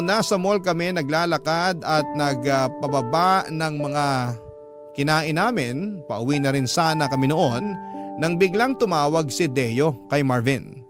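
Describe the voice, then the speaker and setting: Higher-pitched male radio drama narrator. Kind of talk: first-person confessional letter narration, scripted radio drama. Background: music.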